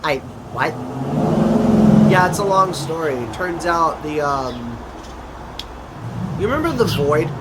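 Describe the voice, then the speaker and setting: A man acting a chatty interdimensional adventurer in a conversational audio drama, with a halting, hesitant delivery. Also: fairly high pitch, very animated, heavy background noise. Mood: awkward, explaining.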